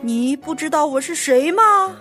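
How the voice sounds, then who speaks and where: A woman's voice: on the high side, sing-song, measured pace, a bit bright, soft background music. Woman voicing a bossy, blustering storybook lion. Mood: commanding, indignant. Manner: indignant, commanding, roaring. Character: bossy, blustering male lion, king of the forest.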